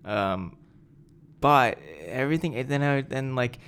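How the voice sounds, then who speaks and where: A man's voice: medium pitch, a little slow, fairly bright tone, smooth. Chatty young man, eager podcast co-host. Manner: enthusiastic, chatty, self-aware. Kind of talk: casual chat.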